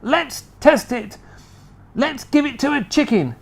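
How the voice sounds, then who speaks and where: A reader voicing Mister Cranky, an excitable fussy father who is shrill and frantic in a storybook reading. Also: a little slow, exaggerated voice. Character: excitable fussy middle-aged farmer father.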